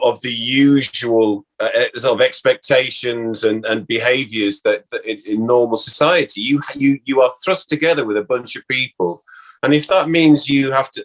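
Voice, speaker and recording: A man, an actor being interviewed, talking casually and reflectively, haltingly repeating words as he thinks aloud. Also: medium pitch, low-quality recording.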